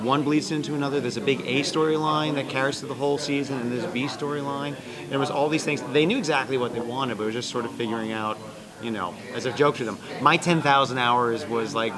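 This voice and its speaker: A male guest talks quickly and animatedly about his writing work. His voice sits at mid pitch. Loud crowd noise fills the outdoor space around him. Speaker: guest writer. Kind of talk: casual interview.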